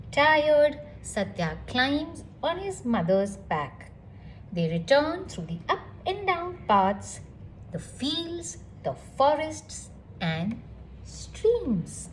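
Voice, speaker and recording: A woman storyteller with a fairly high voice reads for young children at an unhurried pace. Her delivery swings widely in pitch and is expressive throughout, as she speaks directly to her young listeners. There is some faint ambient noise behind her. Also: low-quality recording. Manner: animated, addresses child listeners with questions. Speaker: storyteller reading a picture book aloud. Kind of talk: expressive children's read-aloud.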